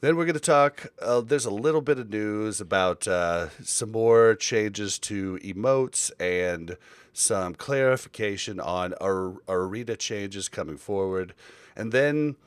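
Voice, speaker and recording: A man's voice: lowish voice, animated, a little slow, smooth, no echo. A man hosting a casual podcast chat, rambling along with frequent fillers and restarts. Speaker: podcast host.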